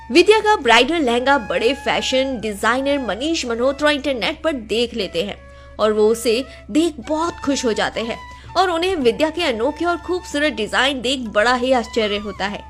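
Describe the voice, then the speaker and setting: Female storyteller for cartoon narration: high-pitched, highly animated delivery.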